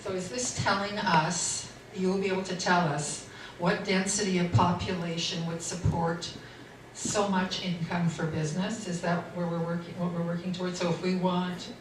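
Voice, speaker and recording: A woman's voice: fairly low pitch, level tone, moderate pace. Older woman councillor in an echoey hall. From Canada. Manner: friendly, hesitant, asks questions. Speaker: municipal councillor. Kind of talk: public meeting remarks. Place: community hall. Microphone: distant room mic. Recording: echoey hall from far off, noisy.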